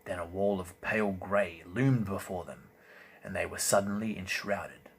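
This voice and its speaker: Male fantasy audiobook reader, deep register, theatrical and deliberate delivery, amateur recording with slight background noise. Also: gravelly, poor audio. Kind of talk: dramatic scripted narration of a fantasy novel.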